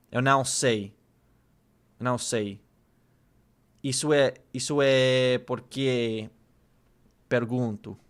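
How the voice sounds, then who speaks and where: Slow, halting man learning Portuguese. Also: mid-range voice, sharp tone, clean tone. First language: English. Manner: hesitant, repeats words while searching for Portuguese. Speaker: language learner and vlogger. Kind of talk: casual study vlog, thinking aloud.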